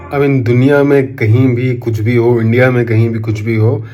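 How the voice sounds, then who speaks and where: A man's voice: mid-range voice, level tone, normal speed, warm tone, faint music behind. A man with an Indian accent ranting to camera in an opinion vlog, indignant and sarcastic, mixing Hindi with English. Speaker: commentator.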